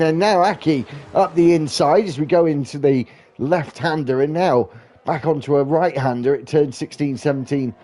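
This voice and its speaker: A man with a stuffy, blocked nose from hay fever, calling an online sim race as lead commentator with brisk play-by-play that lifts during overtakes. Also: a little high, dark tone.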